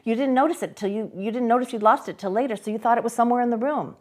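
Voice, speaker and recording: A woman's voice: fairly high pitch, very fast, voice pushed up, natural voice. A woman voicing a young man recovering in hospital, teasing and oddly sure of what he knows. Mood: insistent.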